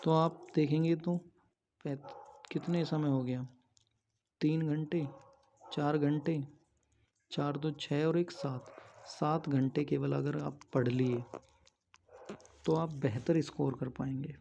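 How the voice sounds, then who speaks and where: A man's voice: a little high, fairly even intonation, slow pace, gravelly, long pauses, dark tone, slight background noise. A man giving instructional exam advice as a coaching teacher, going methodically point by point. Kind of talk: instructional study-strategy talk. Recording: dull lo-fi sound.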